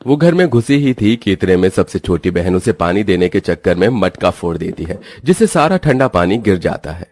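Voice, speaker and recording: A man with a fairly low voice narrates a children's story from a script. His intonation is highly animated and moves up and down a lot. The recording is dry, with no room sound. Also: moderate pace, hardly any pauses, no room sound.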